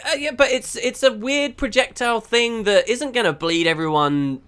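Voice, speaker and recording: A man's voice: very high voice, normal speed, pushed and bright, smooth. A man in casual, critical gaming chat, speaking in a blunt, emphatic, argumentative way as he reviews a build.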